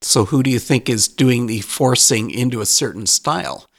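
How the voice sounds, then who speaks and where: A man's voice: medium pitch, normal speed. A man hosting a podcast interview, asking frank but courteous questions. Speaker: podcast host.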